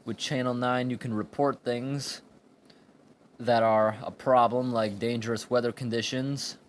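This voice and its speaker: Man, mid-pitched voice, informal product reviewer, plain halting explanations, handheld camera mic, faint background noise. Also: fairly even intonation. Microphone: handheld camera or phone microphone. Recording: amateur recording with background room noise.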